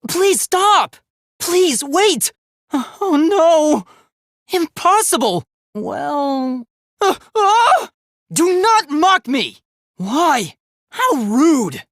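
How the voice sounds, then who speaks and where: A man's voice: very high voice, big pitch swings, extremely slow, a bit bright, no room sound, studio quality, heavily acted. A man voicing an earnest, polite young male robot student in a dramatic anime game, with formal, precise, emphatic diction. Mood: indignant, alarmed. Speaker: video game voice actor.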